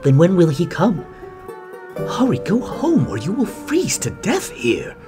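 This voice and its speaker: A woman voicing a worried, urging passerby in an animated fairy tale. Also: on the low side, measured pace. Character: worried passerby urging an old beggar home. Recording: music clearly behind the voice.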